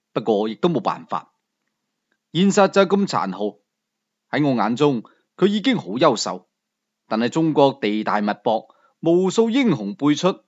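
A man's voice: mid-range voice, muffled recording. A man with a Cantonese accent narrating a scripted first-person novel as an audiobook storyteller.

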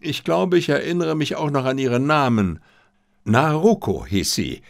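A man's voice: mid-range voice, expressive, normal speed, creaky, pitched above the performer's own voice. A man voicing an unruffled, self-assured detective in an audiobook, explaining things with playful confidence. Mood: breezy, unconcerned. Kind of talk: audiobook character dialogue.

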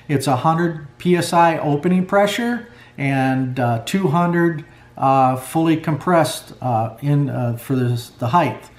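A middle-aged man talking through a clutch rebuild at his workbench in a plainspoken way, with lots of fillers. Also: on the high side. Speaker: snowmobile clutch mechanic. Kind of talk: hands-on workshop tutorial. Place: garage workbench. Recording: echoey workshop.

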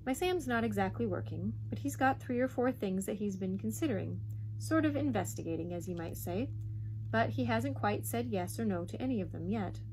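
A woman's voice: medium pitch, expressive, fairly quick, gravelly, warm tone, a little hiss or hum, rough recording. A woman voicing a practical, folksy Yorkshire housewife who bargains sharply in a storybook reading. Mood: placating.

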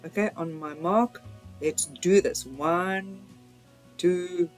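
A woman's voice: fairly low pitch, slow pace. A woman hosting a vlog, mixing narration with casual chat. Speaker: vlog host. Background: music.

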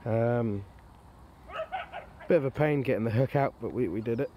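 A man's voice: mid-range voice, normal speed, dark tone. A man chatting casually and in an understated way, as an angler presenting a fishing vlog. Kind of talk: casual chat to camera. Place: outdoors on a lakeside bank. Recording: outdoor camera audio, clear background noise. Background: outdoor ambient noise.

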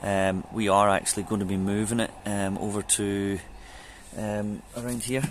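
A man with a very low voice talks viewers through a chore in loose, chatty sentences, full of ums and restarts. He is out in his back garden, caught by a camera mic with soft outdoor sound behind him. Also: level tone, normal speed, slight background noise. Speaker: home DIY vlogger. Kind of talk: casual how-to vlog. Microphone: camera mic on a fixed camera.

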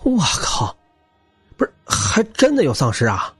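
Male narrator playing cocky young fighter, nonchalant, husky, highly expressive, audiobook character. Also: measured pace, dry recording, soft background music, heavily acted. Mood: startled. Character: cocky, easygoing young male fighter in a post-apocalyptic story.

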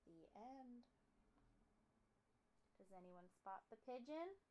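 High-voiced young woman chatting to children. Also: big pitch swings, slow, darkish tone, a little hiss or hum, rough recording. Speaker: read-aloud presenter for children. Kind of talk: casual chat to children. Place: small room at home.

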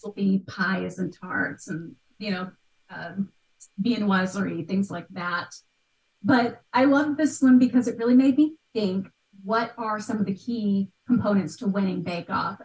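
A woman's voice: mid-range voice, moderate pace. A woman in her thirties thinking aloud as an expert guest in a conversational interview, on a video call from a room at home. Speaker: guest expert, art history podcaster. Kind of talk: conversational question-and-answer interview. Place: small bright room at home. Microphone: video call, computer microphone. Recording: echoey room, distant from mic.

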